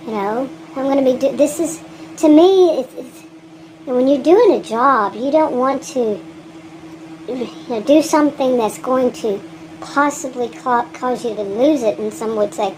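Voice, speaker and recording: A woman's voice: high-pitched, unhurried, darkish tone, slight background noise, rough recording. A woman with a thick Texan accent, vlogging in a rambling, frank monologue, often laughing and restarting her sentences. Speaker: gig delivery vlogger.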